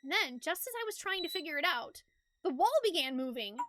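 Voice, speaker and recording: A woman's voice: extremely high pitch, big pitch swings, fairly quick, no room sound, studio quality. A woman streamer reading a game's story aloud expressively and chatting casually about her playthrough. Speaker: streamer, narrator of a game playthrough. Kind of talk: expressive storybook-like game narration with casual chat. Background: loud game music.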